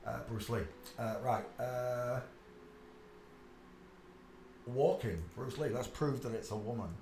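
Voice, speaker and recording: A man's voice: medium pitch, takes it slowly, a little mellow, slight background noise. Man rambling in an echoey room. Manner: insistent, emphatic, trailing asides with many "uh"s. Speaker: channel host. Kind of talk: casual rambling monologue over pictures. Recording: echoey room with faint ambience.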